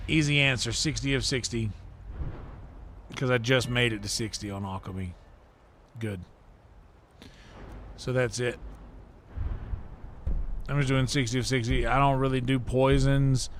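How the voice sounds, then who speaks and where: Slow-paced man thinking aloud on livestream. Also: mid-range voice, steady tone, fairly bright tone, a little hiss or hum. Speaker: livestream host and gamer. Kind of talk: casual live game commentary, thinking aloud. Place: indoor livestream setup.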